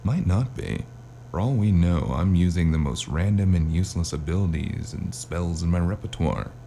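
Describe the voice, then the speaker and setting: A man playing a wry, weary hard-boiled investigator who narrates and talks in a cyberpunk noir audio drama, with a deep gravelly voice. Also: lively intonation, normal speed, mellow, a little hiss or hum. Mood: sheepish, casual. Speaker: narrator and lead character.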